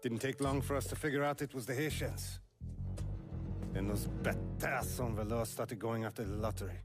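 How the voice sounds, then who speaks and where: A man's voice: medium pitch, normal speed, music in the background, natural voice. An actor playing a stern, protective older father and neighbourhood boss in a video game, speaking unhurriedly. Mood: troubled, guarded. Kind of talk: cinematic video game acting.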